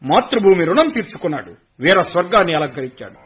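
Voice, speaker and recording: A man narrating a radio play in a measured, commemorative tone. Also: high voice, sing-song. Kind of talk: scripted radio drama narration.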